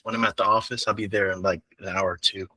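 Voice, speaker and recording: Low, very bright, noncommittal man. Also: level tone, fast talker, dry recording. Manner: brief, noncommittal replies. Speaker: coaching client playing a roofer prospect. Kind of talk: casual mock phone call.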